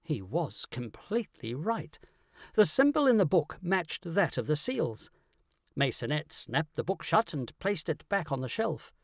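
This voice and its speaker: Guy reading an audiobook story, dramatic, pitch moves around a lot, dry recording. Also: big pitch swings, unhurried, vocal fry, fairly bright tone, no room sound. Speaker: audiobook narrator. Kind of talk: scripted first-person story narration.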